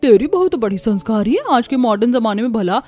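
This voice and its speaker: A woman's voice: fairly high pitch, expressive, fairly quick, mellow, soft background music. A woman voicing a curious, gossipy middle-aged neighbour. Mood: nosy.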